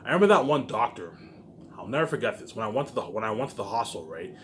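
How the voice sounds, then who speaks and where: A man's voice: on the low side, sing-song, racing, fairly bright tone, a little hiss or hum. A man in an agitated, confrontational unscripted rant, repeating himself and swearing often. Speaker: online video maker.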